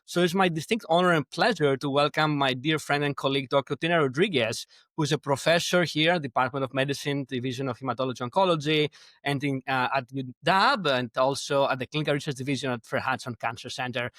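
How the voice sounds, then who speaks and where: A man's voice: mid-range voice, fast talker, a bit bright, no room sound, clean studio sound. A man with a Greek accent hosting a conversational medical podcast interview in an effusive, complimentary manner. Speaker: podcast host, oncology professor.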